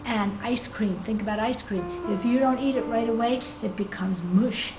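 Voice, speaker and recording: Echoey woman teacher discussing literature. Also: on the high side. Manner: thoughtful, hesitant, pauses often to find words. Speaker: English teacher. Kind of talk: poetry reading and informal literary explanation. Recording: echoey room with music behind.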